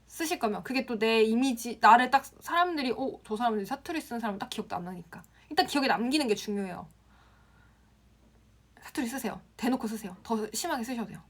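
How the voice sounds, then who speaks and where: A woman's voice: a little high, lively intonation, fast talker. A young woman from the Gyeongsang region teaching Instagram, answering viewer comments on a casual live stream and laughing often. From Gyeongsang province, Korea.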